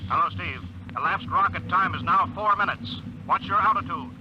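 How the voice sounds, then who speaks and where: A man's voice: high voice, moderate pace, lightly acted. An American man playing an aging, anxious flight controller in a 1950s radio drama, earnest and insistent. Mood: brisk, commanding. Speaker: actor. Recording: noisy, muffled 1950 radio recording.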